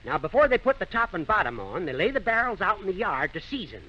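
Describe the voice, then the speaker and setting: An actor playing a folksy, rambling teller of tall tales, spun in earnest, in an old radio play. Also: male voice, high voice, very animated, pushed and bright, a little hiss or hum, exaggerated voice. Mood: earnest yarn-spinning. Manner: folksy, drawn-out, earnest tall-tale delivery. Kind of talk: old-time radio comedy-drama acting. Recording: muffled old radio recording.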